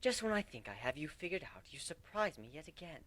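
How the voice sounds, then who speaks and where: A man's voice: on the high side, very animated, brisk, a bit bright. A man voicing a haughty, formal pony princess reading out a stern letter in a dramatic story reading. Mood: stern, smug. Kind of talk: dramatic reading of fan fiction.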